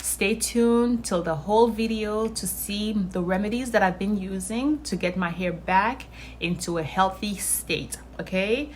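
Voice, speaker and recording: Female vlog host, native Haitian Creole speaker, mid-pitched voice, upbeat and informal, addressing her audience directly. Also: unhurried, slight background noise. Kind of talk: casual chat to viewers.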